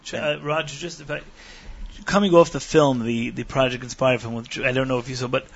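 A man's voice: medium pitch, steady tone, a little fast. A man co-hosting an interview, asking casual questions with joking asides and stumbling restarts. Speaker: co-host. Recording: dull lo-fi broadcast audio.

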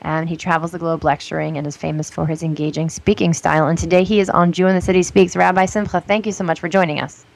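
A woman's voice: on the low side, level tone, fast talker, vocal fry, hardly any pauses. A woman hosting a casual podcast interview, earnest and speaking with many "um"s and "like"s. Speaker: podcast host.

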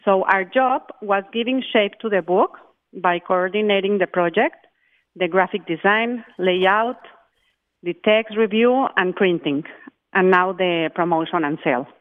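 A woman's voice: medium pitch, a little slow. A woman from Mexico speaks with a Mexican Spanish accent over a tinny phone line. She talks carefully, stopping and restarting as she explains things. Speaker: charity group chair. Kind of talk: phone interview.

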